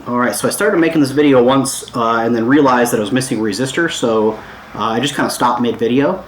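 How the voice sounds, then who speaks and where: A man's voice: medium pitch, fast talker. A man talking casually and informally as he hosts a hands-on DIY electronics tutorial, easygoing and a little self-deprecating. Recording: echoey room, some background noise.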